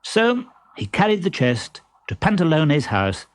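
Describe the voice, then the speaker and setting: A man reading a children's story as scripted, expressive narration. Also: a little high, a little slow, background noise.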